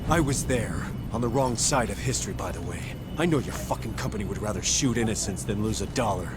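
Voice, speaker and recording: An actor playing a blunt, cynical marine sergeant in a video game, gruff and sardonic in manner. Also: male voice, lowish voice, a little fast, very noisy, lightly acted. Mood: angry, accusing. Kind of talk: video game cutscene acting.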